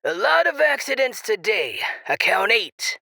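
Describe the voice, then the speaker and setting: A mocking radio host with a sinister streak, teasing and falsely casual. The delivery is slow, with creak in a bright, close-miked voice. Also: mid-range voice, big pitch swings, dry recording, heavily acted. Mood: ominously matter-of-fact. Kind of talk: radio drama acting in presenter patter.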